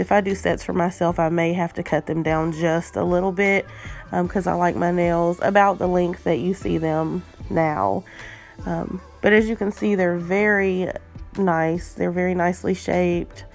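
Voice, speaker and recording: Casual vlogger, female, stuffy cold voice, gravelly, lots of ums, faint music behind. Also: lowish voice, a little slow. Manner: chatty, frequent ums, relaxed. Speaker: nail art vlogger. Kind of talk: casual unboxing chat.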